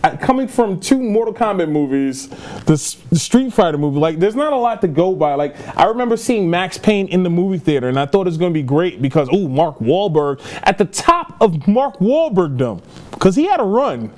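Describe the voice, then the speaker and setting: A man with a somewhat higher-pitched voice talks as a podcast host. His delivery is casual and conversational, with plainly stated opinions. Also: very animated, fairly quick, darkish tone. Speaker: podcast host reviewing films and games. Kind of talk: casual chat.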